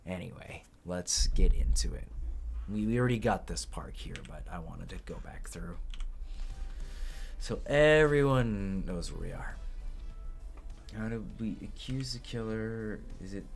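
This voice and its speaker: A raspy, hoarse young man casually commenting on a game playthrough, with lots of ums and halting restarts. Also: fairly low pitch, lively intonation, faint music behind. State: hoarse from illness. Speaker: let's play host. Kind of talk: game playthrough commentary, reading game text aloud.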